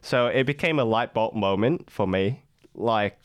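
A man's voice: fairly low pitch, measured pace. A young man, a guest in a casual interview, speaking hesitantly with many fillers and restarts. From Vietnam.

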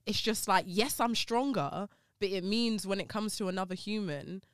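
A talkative woman in casual conversation, animated, with quick laughing asides between her points. Her voice comes across smooth and crisp in a dry, close recording. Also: no echo. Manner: animated, laughing asides, rises in pitch when joking. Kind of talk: casual chat.

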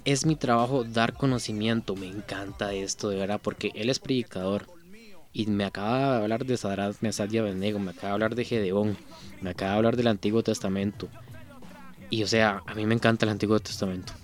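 A man's voice: lowish voice, darkish tone. A Costa Rican man reading out song lyrics and commenting on them in a casual, earnest way, thinking aloud with frequent restarts. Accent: Costa Rican Spanish. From Costa Rica. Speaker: reaction video host.

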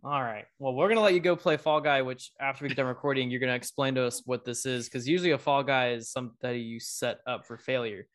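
A man's voice: mid-range voice, steady tone, fast, hardly any pauses. A man hosting a podcast interview, asking long, rambling questions with frequent restarts. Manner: rambling, warm-hearted, restarts often. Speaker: podcast host. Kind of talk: casual interview conversation.

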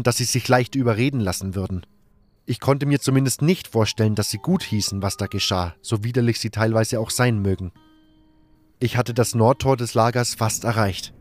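A man's voice: medium pitch, a little mellow. A man reading scripted first-person audiobook narration as a dramatic storyteller.

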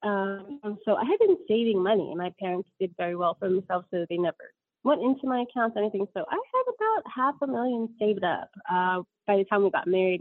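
A woman's voice: medium pitch, dry recording, narrow, tinny audio. A woman in her thirties telling her story as an interview guest, rambling with many ums and self-corrections.

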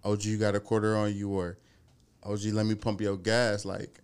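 A man in his thirties with a low, gravelly voice tells stories in loose, slangy talk, swearing as he goes. He comes from the Cleveland area and carries the chat with a lot of animation. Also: completely flat delivery, moderate pace. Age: 30s. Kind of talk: casual storytelling chat.

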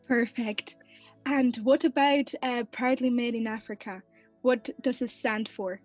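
A woman's voice: a little high, fairly even intonation, a little slow, no room sound, soft background music, rough recording. A woman hosting a podcast interview, introducing her guest and asking questions in a polite, formal way. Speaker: podcast host.

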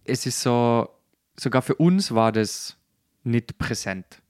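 A man's voice: mid-range voice, animated, slow, smooth, dry recording, clean studio sound. A man chatting casually on a podcast, colloquial and searching for his words. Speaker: podcast host.

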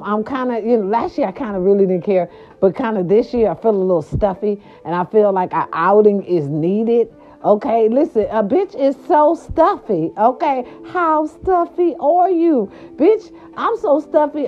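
A grandmother from California talking casually to her viewers, animated and chatty, with salty slang and lots of "right, right" fillers. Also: medium pitch. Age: older. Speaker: vlogger. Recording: dull lo-fi sound.

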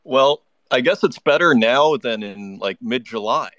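A man's voice: medium pitch, lively intonation, a little slow, a bit bright, no room sound. A man hosting a live online audio interview, chatty and enthusiastic, often restarting his sentences. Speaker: podcast host.

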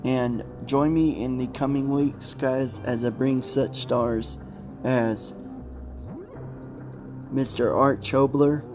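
A man hosting a podcast, informally signing off in short, halting chunks. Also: medium pitch, fairly even intonation, over music. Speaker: podcast host.

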